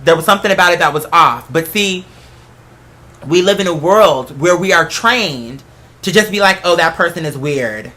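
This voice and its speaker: Bright-voiced solo host, casual and emphatic. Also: medium pitch, animated, moderate pace. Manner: emphatic, conversational, profane. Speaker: host of a solo talk show. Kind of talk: casual rambling monologue. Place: apartment.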